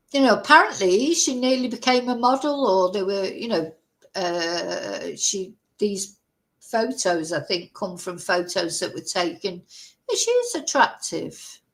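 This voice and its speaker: A woman's voice: mid-range voice, poor audio. A woman hosting a true crime livestream. She reads a news article aloud and keeps breaking off for chatty asides and self-corrections. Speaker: true crime stream host. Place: livestream at home.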